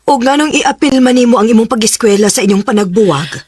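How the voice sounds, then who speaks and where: A woman playing a scolding middle-aged mother in a radio drama, with a husky voice. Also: on the high side, animated, fast talker, darkish tone, no echo. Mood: stern. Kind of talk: Cebuano radio drama acting.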